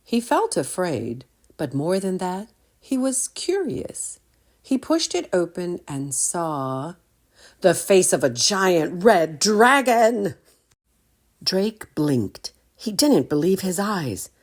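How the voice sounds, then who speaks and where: A woman with a low voice reads a children's story aloud. Her delivery is slow and deliberate, with lively, expressive swings in pitch. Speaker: storytime reader. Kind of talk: children's story read-aloud with questions to listeners.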